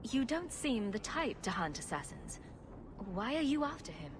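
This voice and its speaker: A woman's voice: on the high side, sing-song, moderate pace. A woman playing a sarcastic female rogue champion in a video game, with a dry, wry delivery. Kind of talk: video game character acting. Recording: clear background ambient noise.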